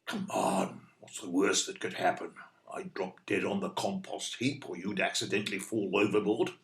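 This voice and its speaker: An actor playing a blunt, stubborn older British husband in an audio play, speaking in a dry, matter-of-fact way. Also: male voice, low voice, flat delivery, normal speed, vocal fry, fairly bright tone. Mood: wry. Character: blunt, grumpy older husband wanting his freedom.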